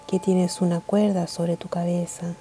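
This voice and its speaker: A woman leading a guided meditation in a calm, gentle, measured way of speaking. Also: lowish voice.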